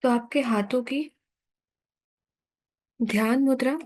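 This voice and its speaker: A woman with an Indian accent guides a sleep meditation in a calm, soothing, unhurried manner, leaving long pauses between instructions. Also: a little high.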